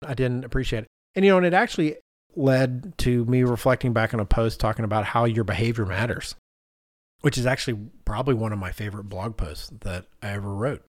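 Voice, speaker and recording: A man's voice: mid-range voice, brisk, no echo, clean studio sound. A middle-aged man co-hosting a podcast, telling stories in a casual, conversational way and acting out what people said. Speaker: podcast co-host.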